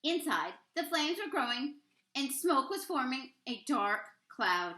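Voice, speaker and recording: High, bright woman reading a storybook. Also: sing-song, a little slow, reverberant. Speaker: read-aloud storyteller, teacher reading to children. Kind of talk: expressive read-aloud of a children's picture book.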